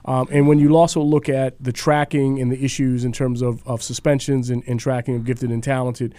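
A man speaking as a radio panel guest, halting with frequent restarts. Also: medium pitch, level tone, dark tone, no echo. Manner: halting, many restarts and fillers. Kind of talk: casual discussion.

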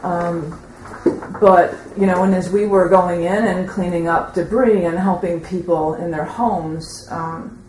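Low-voiced woman in an echoey room. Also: steady tone, measured pace, a little mellow. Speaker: audience member commenting at a teaching session. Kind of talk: rambling spoken testimony in a group discussion. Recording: roomy echo with faint background noise.

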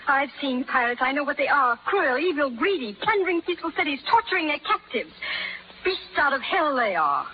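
Haughty, impassioned young lady, higher voice, 1930s radio melodrama, muffled old recording. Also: very animated, fairly quick. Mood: bitter, impassioned. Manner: haughty, impassioned, precise diction. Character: proud, scornful young gentlewoman held by pirates. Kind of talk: 1930s radio drama acting.

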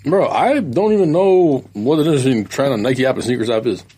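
A man's voice: medium pitch, expressive, quick pace, mellow, slightly rough. A man in casual podcast chat, boasting and ribbing his co-hosts. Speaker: podcast co-host.

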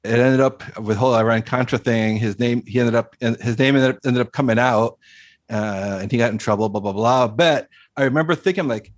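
A man's voice: mid-range voice, a little fast, no echo. A man from Costa Rica interviewing an author, speaking casually with many fillers and repeated words. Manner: hesitant, many fillers and repeats, laughs often.

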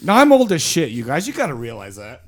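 A man's voice: on the high side, huge pitch swings, fast. A man in his forties hosting a podcast interview in a studio, joking and rambling in a self-mocking way. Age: 40s. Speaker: podcast host. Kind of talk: casual interview chat.

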